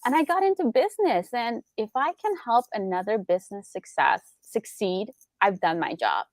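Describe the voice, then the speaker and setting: A woman's voice: medium pitch, expressive, normal speed, no echo. A young adult woman from Iran, a business attorney, explaining in casual interview chat with lots of rhetorical questions.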